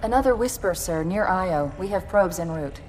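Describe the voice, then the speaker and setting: A woman voicing a calm female ship AI who gives crisp, efficient status reports in a game cutscene. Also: lowish voice, moderate pace, slight background noise, natural voice, rough recording. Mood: alert. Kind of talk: cinematic game cutscene acting. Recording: dialogue under loud music.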